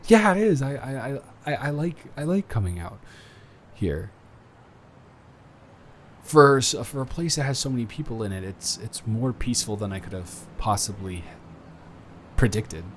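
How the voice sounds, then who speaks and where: A young man playing an earnest, awkward college student smitten with a dormmate, rambling and halting in an intimate roleplay audio drama. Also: medium pitch, sing-song, a little hiss or hum, lightly acted. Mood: affectionate, teasing. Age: young adult.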